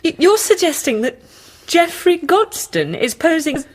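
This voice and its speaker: An actress in a radio drama playing a young, earnest, lovestruck doctor, polite but hesitant, easily flustered and defensive. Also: female voice, very high-pitched, very animated, measured pace, heavily acted. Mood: defensive, incredulous. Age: young adult.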